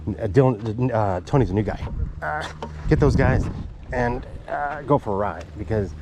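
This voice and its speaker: An excitable man rambles to his viewers while riding an ebike up dirt hills, filling his talk with casual swearing. His voice sits in an ordinary middle pitch. It comes from a chest-mounted action camera, half buried under heavy wind rush. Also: moderate pace. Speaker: ebike vlogger. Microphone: GoPro chest mount camera. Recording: loud wind-buffeted action camera audio. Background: loud wind noise on the mic.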